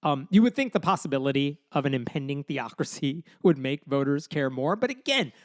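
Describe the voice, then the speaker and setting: A man's voice: fairly high pitch, animated, fairly quick, dry recording, clean studio sound. A man co-hosting a podcast, walking through poll numbers and arguing his points in casual chat. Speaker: podcast host.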